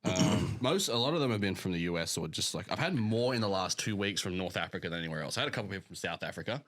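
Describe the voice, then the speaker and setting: Australian man, very low voice, dry close sound, laid-back sweary podcast chat. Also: lively intonation, very fast, fairly bright tone, no echo. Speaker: physique coach, podcast co-host.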